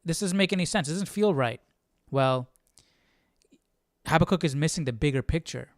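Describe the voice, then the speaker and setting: Male study host, slightly high pitch, informal explainer with stumbles and restarts, very dry recording. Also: expressive, moderate pace, no room sound. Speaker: Bible study livestream host. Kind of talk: casual Bible study commentary, reading aloud and explaining.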